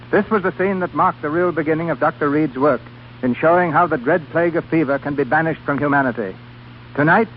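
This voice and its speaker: A dull old radio broadcast with slight noise. A man with a slightly high voice presents as host, formal and declamatory, in 1930s scripted narration style.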